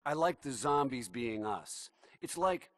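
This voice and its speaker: A man's voice: medium pitch, animated, a little slow, dry recording, studio quality, lightly acted. A man speaking in a musing, conversational way, as if reflecting in an interview.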